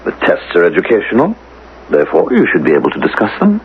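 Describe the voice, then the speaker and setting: A man's voice: low-pitched, wildly swooping pitch, fast. A man acting in a radio drama as a self-possessed language teacher of the future who speaks precisely and asks probing questions. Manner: precise, questioning, coolly assured. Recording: narrow, hissy 1980 radio broadcast.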